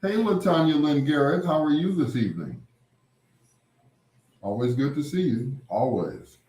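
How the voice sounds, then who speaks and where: A man chatting casually with live viewers as a livestream host, rambling and repeating phrases, with drawn-out greetings. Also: fairly high pitch, animated, warm tone. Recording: roomy, echoing sound.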